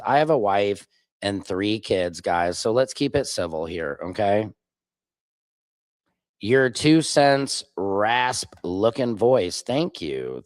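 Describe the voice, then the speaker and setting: A man's voice: on the low side, no echo. Indignant man hosting a livestream. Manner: heated and indignant, talking back to clips and chat. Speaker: livestream host. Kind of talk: political livestream commentary.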